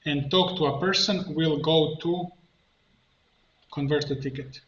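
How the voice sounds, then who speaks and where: Guy doing a screen tutorial, bright slightly high voice, halting, muffled echoey room w/ keyboard clicks. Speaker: tutorial instructor, coach. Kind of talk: step-by-step software tutorial narration. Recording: muffled, roomy, faint noise, keyboard clicks. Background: computer keyboard typing and clicking.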